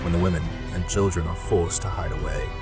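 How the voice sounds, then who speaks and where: A man's voice: low voice, fairly even intonation, a little fast, far from the mic, over loud music. A man giving dramatic scripted narration as the narrator of an audio drama.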